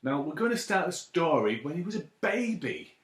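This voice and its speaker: A man chatting playfully and excitably to children as a story time presenter, asking them questions, in an echoey room. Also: fairly high pitch, normal speed. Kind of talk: playful chat addressed to children.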